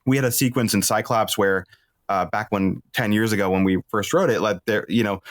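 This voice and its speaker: A man speaking casually as a podcast guest, explaining ideas about storytelling in a thoughtful, halting way. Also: fairly low pitch, steady tone, no room sound, studio quality. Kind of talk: casual discussion of drama and storytelling.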